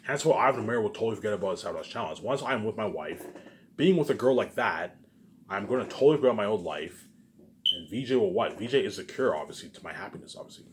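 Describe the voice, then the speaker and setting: Male online video maker, lowish voice, very quick delivery, looping unscripted rant that surges loud then sinks to a mutter. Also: lively intonation, background noise. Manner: repetitive, circling back to same phrases; emphatic, swinging between loud bursts and low muttered asides. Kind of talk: rambling unscripted monologue to viewers.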